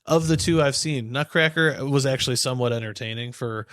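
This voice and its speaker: A man's voice: medium pitch, a little fast, a bit bright, no echo. Joking male podcast co-host, dry sound. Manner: joking, crude banter. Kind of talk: casual chat.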